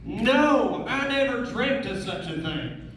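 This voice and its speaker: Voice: mid-range voice, lively intonation, takes it slowly, fairly bright tone. A performer playing a lovesick, impatient traveler in a readers theatre show, exclaiming with melodramatic disbelief. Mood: conceding. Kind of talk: readers theatre stage acting. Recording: echoey room, distant mic, faint noise.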